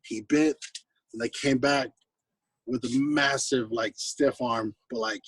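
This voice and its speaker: A man's voice: medium pitch, fairly even intonation, takes it slowly, husky, no room sound, far from the mic. An American man from the West Coast and Hawaii, a guest telling anecdotes in casual, laid-back, slangy talk. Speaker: guest, singer-songwriter musician. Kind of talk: casual chat, anecdotal storytelling.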